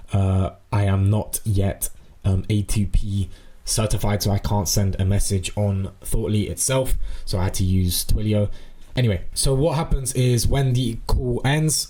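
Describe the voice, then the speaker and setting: A man giving an informal, explanatory software walkthrough, thinking aloud as he presents. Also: fairly low pitch. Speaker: tutorial presenter.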